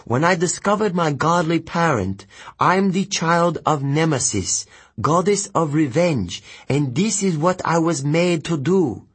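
A man's voice: on the high side, lively intonation, unhurried, slightly rough, pitched above the performer's own voice. A man voicing a bitter, defiant teenage boy in an audiobook, speaking with resentful emphasis. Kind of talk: audiobook character voice.

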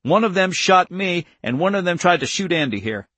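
A man's voice: high-pitched, lively intonation, moderate pace, a bit bright, no echo, pitched above the performer's own voice. A man voicing a wounded older farmer in an audiobook story, plainspoken, stern and stubborn, with folksy country talk. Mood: indignant. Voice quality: breathless.

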